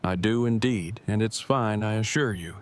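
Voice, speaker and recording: A man's voice: fairly low pitch, moderate pace, natural voice. A man playing a polite, pedantic man with formal, wordy speech in an audio drama. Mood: unruffled, formal. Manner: formal, verbose, precise wording. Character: polite, pedantic, formal-spoken man, easily embarrassed game master. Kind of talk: scripted audio drama acting.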